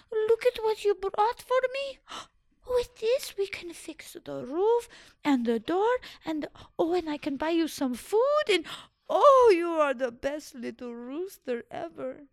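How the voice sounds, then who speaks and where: A woman voicing a poor, loving little old woman who gasps and gushes in a high voice. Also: expressive, slow, no room sound, full character voice. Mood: overjoyed. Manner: gasping, gushing.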